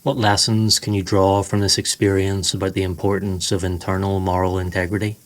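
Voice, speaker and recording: Deep-voiced man guiding a meditation. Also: level tone. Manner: slow, soothing, measured delivery. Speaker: meditation guide. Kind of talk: scripted guided meditation narration.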